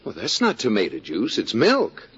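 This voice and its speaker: Very animated, teasing husband. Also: male voice, on the low side, normal speed. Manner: playful needling. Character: teasing, smug, affectionate husband. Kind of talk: 1940s radio sitcom acting. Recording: noisy, muffled 1940s radio recording, studio audience.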